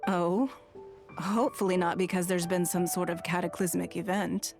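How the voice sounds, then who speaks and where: A woman's voice: lowish voice, little pitch movement, moderate pace, loud music behind the voice, lightly acted. A woman voicing a sophisticated, haughty female ruler in a video game, with formal, dryly sardonic delivery. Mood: dry, teasing.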